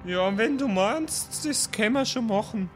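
A male player chats his way through an improvised role-play. His voice sits a bit high for a man, and his delivery is casual. Faint music runs underneath. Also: expressive, moderate pace. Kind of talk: casual improvised in-character chat. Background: faint background music.

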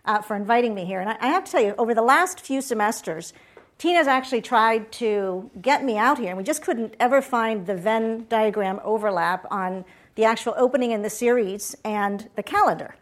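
Chatty woman giving a lively talk. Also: a little high, fairly quick. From Puerto Rico. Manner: chatty, asks audience questions. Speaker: guest speaker.

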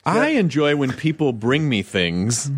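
A man's voice: medium pitch, wildly swooping pitch, measured pace, husky. A man hosting a casual chat with a dry, sarcastic wit.

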